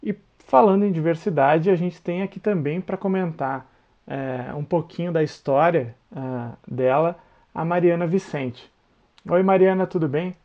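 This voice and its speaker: A man's voice: a little high, unhurried, dull audio. A man hosting a podcast in a friendly, casual way, with many hesitation fillers. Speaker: podcast host.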